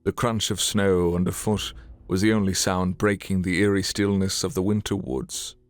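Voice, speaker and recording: A man's voice: low-pitched, level tone, moderate pace, soft background music. A man narrating an audio drama in a scripted, dramatic storytelling style.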